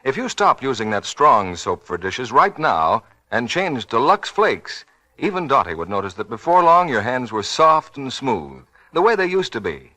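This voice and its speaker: An American man working as a radio announcer, reading scripted announcements and sales pitches in 1940s style. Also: sing-song, moderate pace, pushed and bright, dry recording.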